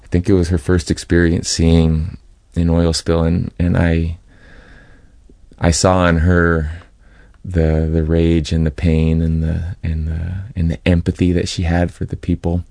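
A middle-aged American man from California giving a reflective, halting personal account as an interview guest. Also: sits low, monotone, creaky, mellow, no room sound, clean studio sound. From California, United States.

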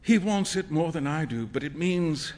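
A man's voice: on the high side, expressive, normal speed, a little mellow, long reverb tail, close to an everyday voice. A man voicing a kind-hearted, naive and impulsive young friend in a storybook, speaking earnestly and expressively. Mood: pitying. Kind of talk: acted storybook dialogue.

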